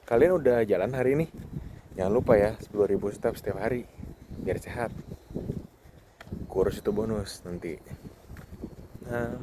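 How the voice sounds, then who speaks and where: A man of thirty, talking casually and reflectively to himself as a podcast host on a walk outdoors. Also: mid-range voice. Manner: informal, reflective, self-talking. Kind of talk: casual walking monologue, vlog. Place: outdoors on a campus football field. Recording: noisy outdoor ambience. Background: clear outdoor background noise.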